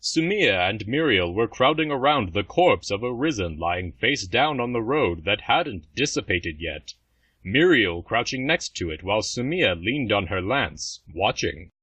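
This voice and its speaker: A man's voice: lowish voice, very animated, a little slow, bright, forceful tone, slightly rough, dry recording, far from the mic, muffled recording. A man giving scripted, dramatic narration for a fantasy story.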